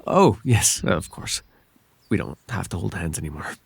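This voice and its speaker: A male voice actor plays a devoted fairy man in an intimate ASMR roleplay. His low voice is breathy and husky, with a gravelly creak. He speaks tenderly and a little shyly, choosing formal, courtly words. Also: animated, normal speed, no echo, heavily acted. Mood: gentle, reassuring. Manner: tender, hesitant, formal old-fashioned phrasing. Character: gentle, devoted, bashful winged fairy man.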